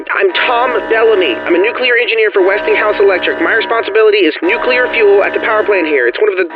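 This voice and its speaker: A man playing a panicked engineer on an emergency call in an audio drama, stammering and urgent through a telephone filter. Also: high voice. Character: panicked male nuclear engineer reporting a disaster. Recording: tinny phone line with music behind.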